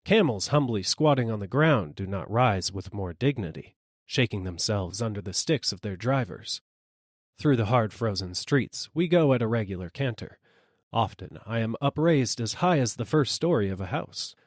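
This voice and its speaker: A man's voice: fairly low pitch, animated, normal speed, dry recording, studio quality. A man reading a literary story as a dramatic audiobook narrator.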